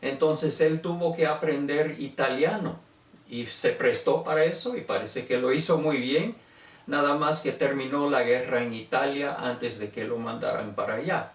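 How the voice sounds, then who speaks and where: A man's voice: medium pitch, normal speed. An older man from Venezuela giving an informal autobiographical talk in a reflective, conversational manner. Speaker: speaker telling his family language history. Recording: echoey room, distant microphone.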